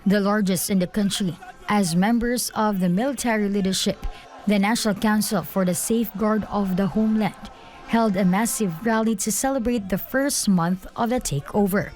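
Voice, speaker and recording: A woman's voice: medium pitch, moderate pace, a little mellow. A woman reading a scripted news report in the role of reporter.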